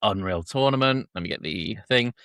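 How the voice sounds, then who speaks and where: A man's voice: fairly low pitch, lively intonation, normal speed, fairly bright tone, dry recording, clean studio sound. A man hosting a podcast, speaking casually as he chats and reads out summaries. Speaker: podcast host. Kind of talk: casual chat and reading episode summaries aloud.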